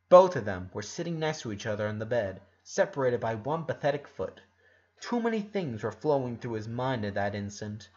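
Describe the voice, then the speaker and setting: Guy narrating a story, really animated pitch, kinda dull lo-fi audio. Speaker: fan fiction narrator. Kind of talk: expressive scripted narration.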